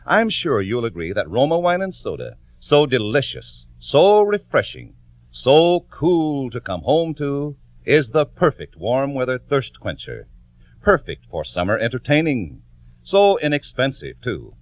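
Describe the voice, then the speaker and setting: Hugely animated vintage radio adman. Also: mid-range voice, a little slow, dry recording. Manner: polished, emphatic salesmanship. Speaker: radio commercial announcer. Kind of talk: 1940s radio advertising pitch. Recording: dry, muffled old radio recording.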